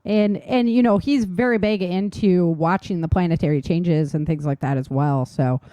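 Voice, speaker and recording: A woman with a slightly low voice chats casually as a show co-host. She sounds enthusiastic and informal, and the recording is close and dry. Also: normal speed, mellow, no echo. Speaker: podcast co-host and novelist. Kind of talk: casual chat.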